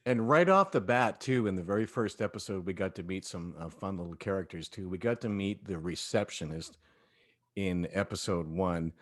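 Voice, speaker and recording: A man's voice: low-pitched, lively intonation, moderate pace, no echo, clean studio sound. A man who directs and voice-acts, talking casually in a group discussion. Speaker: director and voice actor. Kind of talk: casual chat.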